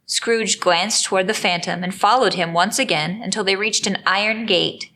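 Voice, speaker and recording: A woman narrating a scripted dramatic story with measured, deliberate phrasing. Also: medium pitch, pushed and bright.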